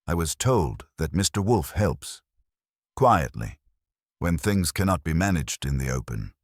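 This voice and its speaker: Man voicing an anxious, brittle society woman, husky. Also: a bass voice, sing-song, unhurried, no room sound, studio quality, exaggerated voice. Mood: hesitant, guarded. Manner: hesitant, formal, brittle. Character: brittle, anxious, composed wealthy woman in her fifties. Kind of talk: detective audiobook character voice.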